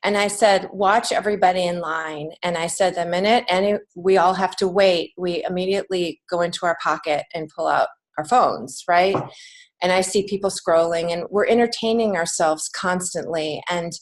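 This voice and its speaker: A woman's voice: lowish voice, fairly even intonation, moderate pace. A woman speaking as a guest in a conversational interview, explaining things earnestly. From United States. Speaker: podcast guest, children's author and creative writing teacher.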